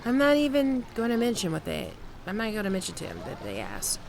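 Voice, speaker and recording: A woman's voice: medium pitch, huge pitch swings, vocal fry, darkish tone, poor audio. A woman playing a flirtatious, playful holidaymaker in an ASMR roleplay, talking in a coy, teasing, conversational way. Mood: amused, coy. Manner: coy, teasing, giggly, unhurried with pauses.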